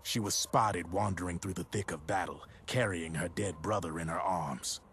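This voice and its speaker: An actor playing a gruff, streetwise arms dealer who tells grim war tales in a brooding, deliberate way in a game cutscene. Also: male voice, low-pitched, a bit bright, slight background noise. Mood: dark, haunted. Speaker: storyteller. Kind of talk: dramatic video game cutscene narration.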